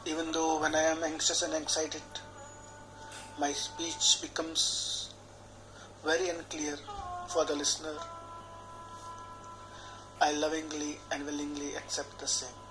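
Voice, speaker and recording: A man, a healer guiding a tapping session in a slow, measured, soothing way, speaking repeated affirmations phrase by phrase. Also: on the high side, completely flat delivery, rough recording. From India. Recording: clear background music under the voice.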